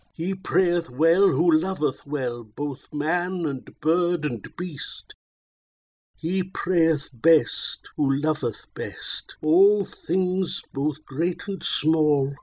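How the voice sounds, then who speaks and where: A man's voice: fairly high pitch, a little mellow, slightly rough. A man voicing a tormented old mariner who solemnly declaims his tale in archaic verse. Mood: solemn, earnest.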